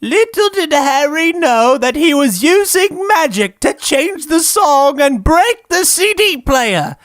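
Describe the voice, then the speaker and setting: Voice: high voice, big pitch swings, slow pace, a bit bright. A storyteller narrating a scripted comic audio story.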